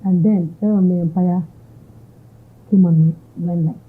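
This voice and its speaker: A woman's voice: fairly low pitch, fairly even intonation, unhurried, breathy edge. A Nigerian woman entrepreneur answering interview questions, switching between English and Yoruba phrases. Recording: tinny narrow-band audio.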